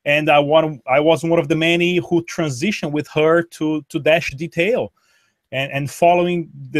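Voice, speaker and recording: Halting Brazilian-born man, dry close sound. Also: on the high side, no echo. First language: Portuguese. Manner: halting, many restarts and repeated words. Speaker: guest. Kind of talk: conversational interview.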